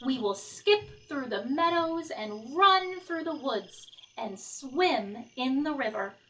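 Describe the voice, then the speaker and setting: A woman's voice: sits high, expressive, slow, sharp tone, recorded from a distance, full character voice, poor audio. A woman reading as a cheerful, eager storybook frog. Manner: coaxing, enthusiastic.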